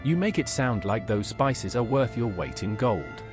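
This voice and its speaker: A man's voice: fairly low pitch, lively intonation, measured pace. A man voicing a capable, helpful adventurer in video game dialogue, encouraging and straightforward. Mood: curious. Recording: music playing behind the voice.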